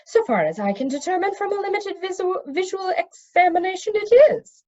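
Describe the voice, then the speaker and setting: A woman's voice: very high voice, expressive, fairly quick, a little mellow, dull audio, voice pushed up. A woman voicing a fussy, learned male magician who speaks in precise, pedantic phrases in a storybook reading. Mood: pedantic explaining.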